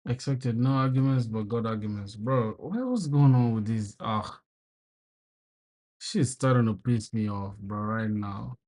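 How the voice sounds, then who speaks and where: A man's voice: fairly low pitch, a little slow, a little mellow. A young man from South Sudan livestreaming his coding, chatting casually with viewers, swearing and venting frustration, calling everyone bro. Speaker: programming livestreamer. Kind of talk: casual live coding stream.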